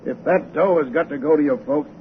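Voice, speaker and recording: An actor playing a gruff, cynical American tramp in a 1930s radio drama, blunt and slangy with an American accent. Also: male voice, on the high side, fairly quick, phone-quality sound, close to an everyday voice. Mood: exasperated. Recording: thin, noisy old radio recording.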